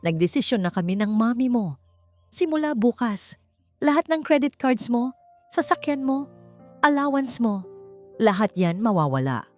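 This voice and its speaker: A woman's voice: on the high side, sing-song, moderate pace, long pauses, faint music behind, pitched above the performer's own voice. A woman voicing a stern, wealthy father in an audiobook drama, curt, authoritative and scolding. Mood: cold, final. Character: stern, wealthy father, cold commanding patriarch.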